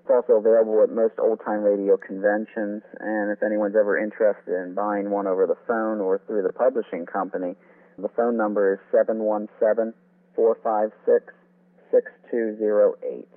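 Low-voiced man on a tinny phone line. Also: little pitch movement, moderate pace. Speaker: author and guest. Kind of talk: informative interview talk.

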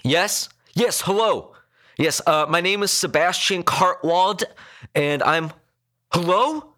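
A man voicing an angry, foul-mouthed male car passenger in an audiobook story, shouting and pleading in exasperation. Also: sits high, lively intonation, slow, voice pushed up, full character voice. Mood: panicked.

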